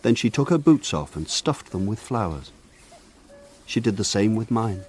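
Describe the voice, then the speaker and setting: An actor narrating a radio drama as a grown man remembering his village boyhood, in a lyrical, measured way. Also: on the low side, sing-song, darkish tone, faint music behind. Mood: wistful.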